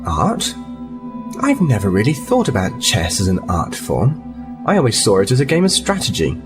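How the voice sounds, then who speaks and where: A man's voice: on the low side, huge pitch swings, moderate pace, music in the background. A man playing an exasperated, plainspoken starship officer in a sci-fi audio drama, wry and conversational. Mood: friendly curiosity.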